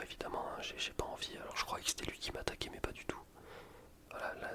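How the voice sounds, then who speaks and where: A man softly whispering ASMR gameplay commentary in an informal, rambling, self-deprecating way. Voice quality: soft unvoiced whisper. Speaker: gaming video creator.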